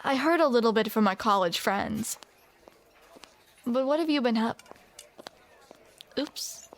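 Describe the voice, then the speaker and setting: Slow, playful woman in audio roleplay. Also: fairly high pitch, expressive. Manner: playful, teasing banter. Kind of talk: scripted romantic audio roleplay.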